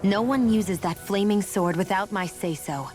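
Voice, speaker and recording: A proud, guarded young woman, played by an actress, speaks coolly and firmly. Her voice sits in an ordinary middle pitch. Faint music underlies the game scene. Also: normal speed, darkish tone, close to an everyday voice. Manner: cool, guarded, firm. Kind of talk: video game voice acting.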